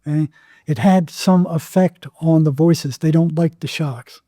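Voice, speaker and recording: An older man speaks as a therapist being interviewed, recounting things conversationally. His voice sits a little high yet has a very mellow, muted tone. He halts and repeats words as he goes, and the recording is dry and close. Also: no echo. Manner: halting, repeats words, acts out remembered exchanges. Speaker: psychotherapist guest.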